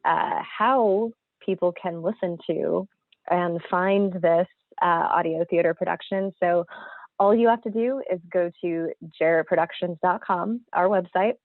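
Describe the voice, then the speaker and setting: A woman's voice: on the low side, measured pace. A woman hosting an event as a producer, making casual announcements and thanks. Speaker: theater company producer hosting a launch event. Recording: thin phone-like audio, roomy echo.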